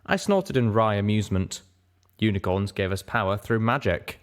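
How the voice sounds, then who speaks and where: A man's voice: on the low side, normal speed, clean tone. A man narrating a first-person fantasy story as a dramatic audiobook reader.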